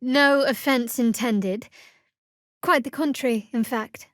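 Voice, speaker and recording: Fantasy game cleric: young female adventurer, guarded and devout, dry wit, measured delivery, lightly high register, clean dry studio sound. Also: fairly bright tone, no room sound. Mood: soft, fond. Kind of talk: fantasy role-playing game voice acting.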